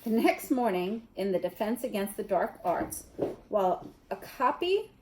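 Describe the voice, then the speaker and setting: A woman reading a children's novel aloud in an expressive narrating style. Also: mid-range voice, a little slow, a little hiss or hum, poor audio. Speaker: storybook reader.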